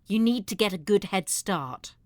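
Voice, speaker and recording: Actress doing a plucky old lady rebel for a radio play, low-ish, very lively delivery, close dry sound. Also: moderate pace, dry recording, lightly acted. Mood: resolute. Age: older. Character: feisty old woman, former radical, plucky and wry. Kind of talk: audio drama acting.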